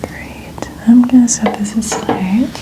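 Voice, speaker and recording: A woman speaking in a soft, breathy, often whispered ASMR style, gently explaining a hairstyling routine step by step. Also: fairly high pitch, steady tone, takes it slowly, rough recording. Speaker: ASMR host playing hairstylist.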